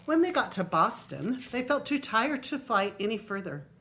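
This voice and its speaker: An older woman, a grandmother, reading a children's picture book aloud in a deliberate way with emphasized story words. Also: a little high, sing-song, a bit bright. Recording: faint noise on homemade video.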